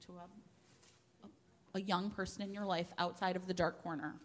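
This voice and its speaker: A woman's voice: fairly low pitch, flat delivery, normal speed. A woman chatting casually to a group, self-deprecating and peppering her talk with "like" as she recommends books. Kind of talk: casual chat before a live group. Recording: echoey hall with long reverb.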